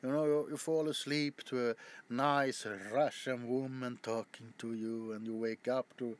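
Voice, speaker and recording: A man's voice: medium pitch, little pitch movement, measured pace. A man chatting casually to his viewers as a video host, rambling with frequent stammers, restarts and casual swearing. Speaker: ASMR YouTuber.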